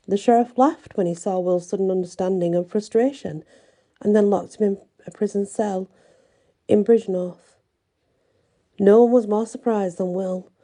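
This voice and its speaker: A woman tells a folk tale as a storyteller. Her voice is very dark and relaxed, at a middling pitch. She reads in a measured storybook way, leaving deliberate pauses between phrases. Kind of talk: scripted folk tale narration.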